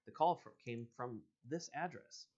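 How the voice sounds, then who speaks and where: A man's voice: mid-range voice, a little slow, mellow, lo-fi sound, natural voice. A man voicing a young, skeptical, inquisitive detective in a storybook, with a plain questioning manner. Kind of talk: storybook character reading.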